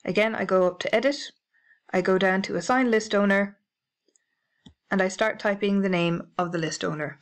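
Methodical British woman explaining software. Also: medium pitch, moderate pace, long pauses. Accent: British English. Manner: clear, methodical, spells out codes letter by letter. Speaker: library staff trainer. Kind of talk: instructional screencast narration.

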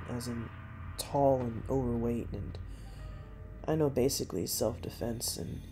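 A narrator reading a first-person true story in a measured way, pausing between short phrases. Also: mid-range voice, dark tone.

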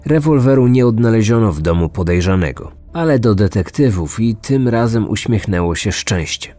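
A man narrates a true crime podcast in a lowish voice. He reads scripted documentary narration, and faint music plays behind him. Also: lively intonation, normal speed, mellow, no room sound.

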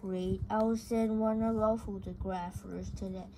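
An amateur reader voicing an anxious, grumpy adult male mouse from a comic, haltingly, in a noisy home recording. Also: mid-range voice, flat delivery, mellow, natural voice. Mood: reluctant, flustered. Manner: flustered, refusing, halting.

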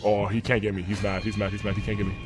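A man's voice: lowish voice, level tone, rapid-fire, faint music behind. A man in his twenties bantering casually while gaming, with cocky, slangy trash talk into a desk microphone. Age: 20s. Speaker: streamer playing as a trolling student. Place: small indoor room. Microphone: large desk microphone up close.

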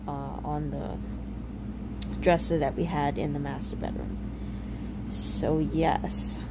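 A woman in her thirties narrating a home renovation video in a relaxed way, with many ums and uhs. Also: low-pitched, noisy background. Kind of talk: casual voice-over narration.

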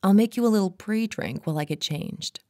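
A woman's voice: medium pitch, animated, a little fast, darkish tone, no echo, pitched above the performer's own voice, lightly acted. A woman playing a seductive female hypnotist in an intimate roleplay, speaking in a soothing, coaxing way, often close to a whisper. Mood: friendly, coaxing. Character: seductive female hypnotist luring a lost traveler.

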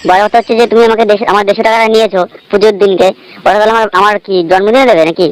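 Rapid-talking prank caller, male. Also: high-pitched, fairly even intonation. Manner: persistent, mock-innocent questioning. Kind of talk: teasing prank phone call. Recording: noisy amateur phone call recording.

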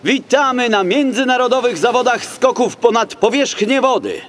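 A man voicing an excited male dolphin presenting a contest in a children's audiobook, in a showy announcer style. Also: very high-pitched, moderate pace, slight background noise, full character voice. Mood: showy delight.

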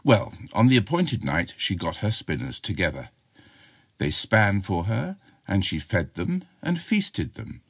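A man's voice: deep voice, very animated, a little slow, husky, no room sound, studio quality. A man narrating a short chiller as an audiobook reader, in a dramatic storytelling style.

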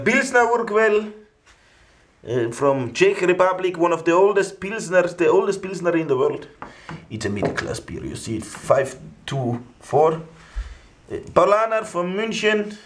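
A young man, speaking English as an in a halting, mock-serious way as he presents a ranking informally. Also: sits high. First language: not English. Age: young adult. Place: small indoor room at home.

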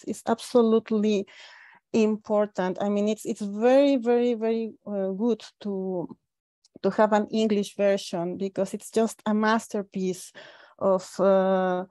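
Halting, bright-voiced woman with Spanish accent. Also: medium pitch, dry recording. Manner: halting, repeats words, enthusiastic. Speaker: academic discussant. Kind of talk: informal spoken academic commentary. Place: living room at home. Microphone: earphone mic on video call.

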